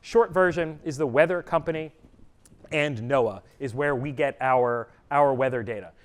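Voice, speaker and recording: A middle-aged man presenting at a conference session, speaking loudly and plainly to a live audience, with frequent "uh" fillers. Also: mid-range voice, moderate pace. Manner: loud, projecting voice. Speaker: presenter, customer education trainer. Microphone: room mic or none, speaking to live audience.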